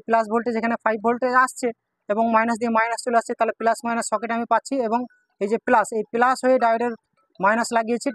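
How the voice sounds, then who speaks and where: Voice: medium pitch, no room sound. A person giving a step-by-step hands-on repair demonstration, repeatedly pointing things out to viewers. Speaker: electronics repair tutorial presenter.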